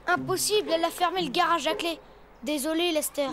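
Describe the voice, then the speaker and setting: A small cartoon elephant boy, very high-pitched. He is eager to please and easily daunted. Loud music runs behind the voice. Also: brisk. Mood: anxious. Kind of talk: French children's cartoon dialogue.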